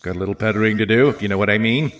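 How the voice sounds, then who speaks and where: A man's voice: on the low side, very fast, a bit bright, close to an everyday voice. A man playing a folksy, distracted Secretary of Defense who talks in hesitant, offhand asides in an audio comedy. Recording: distant conference call, music underneath.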